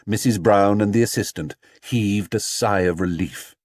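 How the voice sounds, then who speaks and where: A man narrating a children's storybook as an audiobook reader, with expressive, measured storytelling. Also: fairly low pitch.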